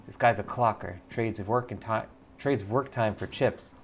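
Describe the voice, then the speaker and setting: A man's voice: lowish voice, unhurried, gravelly, a little hiss or hum. A man voicing a hard-edged, vengeful female street mercenary in a game, terse and conspiratorial.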